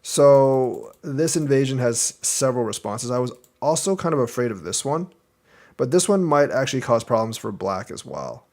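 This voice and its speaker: A man's voice: medium pitch, animated, normal speed, a little mellow. Easygoing man talking through a board game. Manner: thinks aloud, explaining moves step by step. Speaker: Go teacher reviewing a game. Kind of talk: casual game review commentary.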